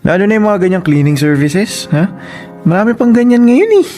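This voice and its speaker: A man's voice: sits high, animated, brisk, mellow, faint music behind. A man playing a skeptical, condescending husband in a Filipino radio drama, talking in an offhand, doubting way. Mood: skeptical, dismissive.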